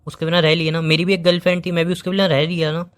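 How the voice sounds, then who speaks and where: A man's voice: fairly high pitch, flat delivery, rapid-fire, clean tone, close to an everyday voice. A man playing a blunt, dismissive young boyfriend who is breaking up in a staged phone-call drama. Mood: cold, dismissive. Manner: blunt, repetitive, impatient.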